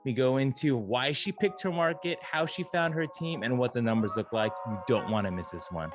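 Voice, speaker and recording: A man hosting a podcast interview, upbeat at the intro and then halting, with many fillers. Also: medium pitch, animated. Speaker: podcast host.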